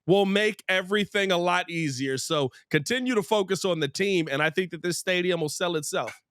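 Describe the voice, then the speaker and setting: Higher-voiced American man, close dry sound. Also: fairly quick, fairly bright tone, smooth, no echo. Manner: emphatic, asks rhetorical questions. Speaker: sports podcast host. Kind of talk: casual opinionated sports chat.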